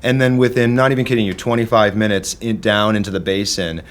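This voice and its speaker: Rambling Midwestern man, lowish voice. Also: fairly even intonation, fast. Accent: Midwestern American. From American Midwest. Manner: rambling storyteller, many fillers and restarts. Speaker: drummer and guest. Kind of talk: casual chat.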